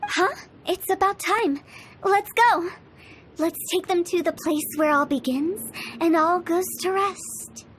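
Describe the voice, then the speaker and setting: A woman's voice: very high-pitched, slow pace, fairly bright tone, a little hiss or hum, full character voice. A woman playing a playful, mischievous young funeral parlor director in a video game, teasing and theatrical. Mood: teasing, brisk. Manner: teasing, theatrical, cheerfully morbid. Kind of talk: video game character dialogue. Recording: game music behind the voice.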